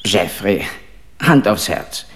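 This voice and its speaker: A man's voice: low-pitched, expressive, takes it slowly. An actor playing a middle-aged Frenchman accused of a crime in a German radio play, defensive, intimate and emphatic. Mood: confiding, resigned. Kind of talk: German radio drama acting.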